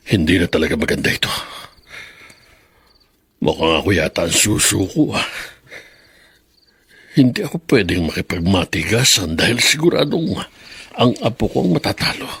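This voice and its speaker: A brooding old grandfather in a Filipino radio drama. His voice is very husky and rough, on the low side, recorded dry and close as he mutters and grumbles to himself. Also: fairly bright tone, dry recording, heavily acted. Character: worried, grumbling old grandfather at odds with family.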